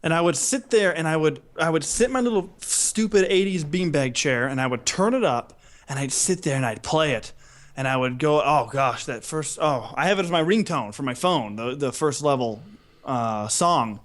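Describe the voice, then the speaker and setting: Higher-voiced man chatting on a podcast. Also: animated, normal speed. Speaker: podcast co-host. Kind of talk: casual podcast chat.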